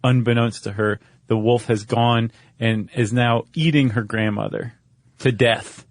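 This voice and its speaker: A man's voice: mid-range voice, fairly even intonation, a little slow, no room sound, studio quality. A man co-hosting a podcast, telling stories in a casual, wry, joking way. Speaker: podcast co-host. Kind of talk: casual conversational storytelling.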